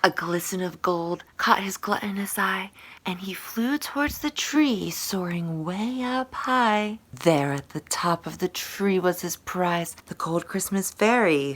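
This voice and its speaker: A woman's voice: mid-range voice, a bit bright. Woman storyteller with theatrical delivery. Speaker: author promoting and reading own book. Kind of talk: storybook read-aloud and promotional talk.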